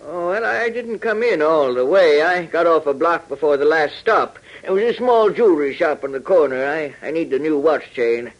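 Radio drama role: male actor as mild, fussy old man, hesitant and courteous, voice pitched on the high side, vintage broadcast. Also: normal speed, hardly any pauses, highs cut off, close to an everyday voice. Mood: mild, chatty. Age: older. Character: elderly, mild, inquisitive male aircraft plant worker. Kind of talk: 1940s radio acting.

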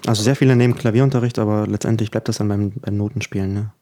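Young guy from ukraine, low kinda flat voice, thoughtful and earnest, laughs a lot between thoughts. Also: rapid-fire. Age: 20s. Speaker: guest musician. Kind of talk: casual interview talk.

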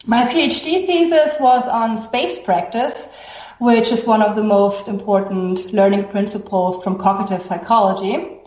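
A woman's voice: medium pitch, a little slow, a little mellow. German-accented woman in an echoey room. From Germany, born in Brazil. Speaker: guest, psychology professor. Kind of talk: interview answer, explanatory.

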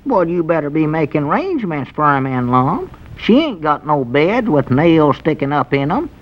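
A high-voiced, naive country sidekick. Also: male voice, sing-song, moderate pace. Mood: practical advising. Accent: rural Southern American. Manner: hesitant, puzzled, folksy rustic dialect. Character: naive, literal-minded, skeptical country sidekick. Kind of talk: 1940s radio comedy acting. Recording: dull, lo-fi old radio broadcast.

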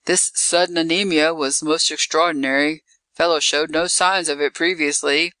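A narrator voicing a regretful onlooker discussing a death in hushed, clipped remarks. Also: medium pitch, steady tone, moderate pace, dry recording, close to an everyday voice, poor audio. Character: hushed mourners discussing a young man's death.

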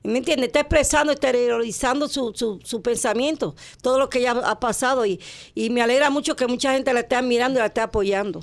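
A woman's voice: on the high side, gravelly. Hesitant middle-aged mother, a woman. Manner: halting, hesitant, with many fillers. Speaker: guest's mother. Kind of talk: casual interview.

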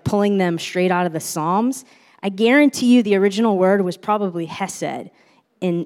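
A woman's voice: medium pitch, darkish tone, in a large hall. A young woman giving a reflective teaching talk at church, earnest and often restarting her phrases. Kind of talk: teaching sermon.

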